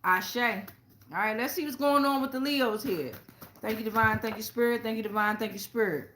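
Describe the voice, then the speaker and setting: American lady doing tarot readings, high pitched n really bright voice, slangy and blunt, talks right to viewers. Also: normal speed, slight background noise. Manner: blunt, slangy, freely swearing. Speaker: tarot reader and show host.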